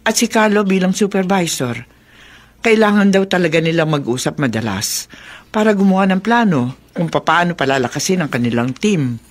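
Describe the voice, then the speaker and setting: A woman's voice: on the low side, animated, normal speed. A woman playing a caring middle-aged mother who reasons with her child in a Filipino radio drama. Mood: earnest, reassuring.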